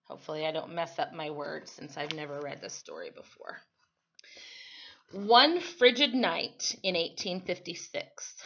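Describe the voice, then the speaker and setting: A woman's voice: fairly low pitch, a bit bright, dull audio. An American woman giving an informal read-aloud lesson as a teacher, explaining as she goes and often correcting herself, recorded on a computer microphone. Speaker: teacher reading a storybook aloud to students.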